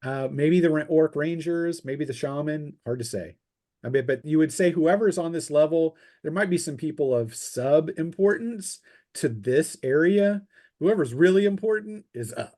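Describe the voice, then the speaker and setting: A man narrating a tabletop roleplaying game as game master, improvising descriptions in a halting way. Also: fairly high pitch, dark tone.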